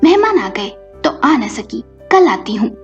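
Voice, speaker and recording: A self-centred married daughter in a storybook drama, put on by a woman narrator, evasive and casual. Her voice is fairly high, over music. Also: big pitch swings, a little slow. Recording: muffled recording with music behind.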